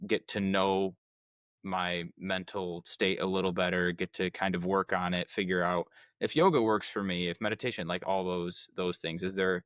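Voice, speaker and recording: A man's voice: low voice, a bit bright, clear, resonant tone, no room sound, clean studio sound. A young adult man hosting a podcast interview, asking long, rambling questions with frequent restarts. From United States. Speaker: podcast host. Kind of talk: casual interview chat.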